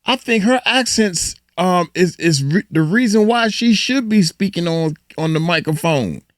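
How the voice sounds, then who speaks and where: A woman's voice: fairly low pitch, expressive, unhurried, no echo, studio quality. A woman giving playful, sassy, emphatic commentary. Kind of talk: casual commentary.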